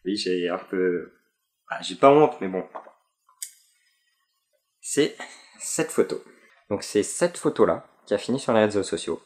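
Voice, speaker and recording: Low-voiced young man, halting and echoey. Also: lively intonation. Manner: hesitant, many fillers and restarts. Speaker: vlogger. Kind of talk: casual chat about a fan convention.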